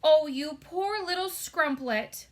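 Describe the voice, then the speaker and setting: A woman's voice: high voice, slow pace, pitched above the performer's own voice. A woman voicing a huge, kindly, tearful male storybook giant with childlike, mangled folksy grammar and tender exclamations. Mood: sad, tender.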